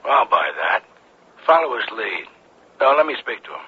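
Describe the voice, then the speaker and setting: An actor playing a cool, commanding conspiracy boss giving terse orders in a 1970s radio drama. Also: male voice, medium pitch, big pitch swings, moderate pace, husky. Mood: curt. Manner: terse, matter-of-fact orders. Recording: dull, faintly noisy two-way radio.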